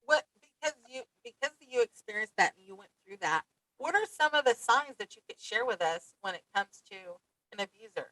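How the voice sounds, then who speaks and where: A woman's voice: fairly high pitch, lively intonation, fairly quick, pushed and bright, low-quality recording. A woman hosting a podcast, asking brief, prompting questions of her guest. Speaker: podcast host. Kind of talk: interview questions.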